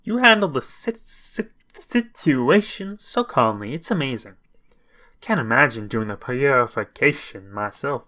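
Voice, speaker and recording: A man's voice: medium pitch, lively intonation, unhurried, bright, forceful tone. A man voicing a timid, eager young female guardian from a visual novel, speaking hesitantly with a flustered stammer. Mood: admiring, unsure.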